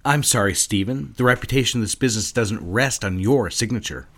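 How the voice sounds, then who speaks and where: A man's voice: mid-range voice, a little fast, fairly bright tone. An actor playing a stern, controlling male advertising executive, clipped and commanding. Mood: firm. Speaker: boss at an advertising firm. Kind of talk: audio drama acting.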